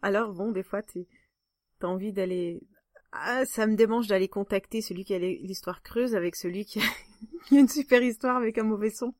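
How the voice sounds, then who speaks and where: A woman speaks as the host of a podcast in casual conversation. Her pitch sits in the middle range, and the sound is dry with very little room. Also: moderate pace, a little mellow, dry recording. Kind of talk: casual chat.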